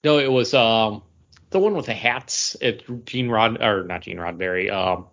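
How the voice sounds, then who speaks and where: A man's voice: lowish voice, normal speed, a bit bright. A man co-hosting a podcast, giving his take on shows in casual chat over a voice call. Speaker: podcast co-host. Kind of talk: casual movie and TV review chat. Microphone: internet voice call. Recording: muffled, dull voice-call audio.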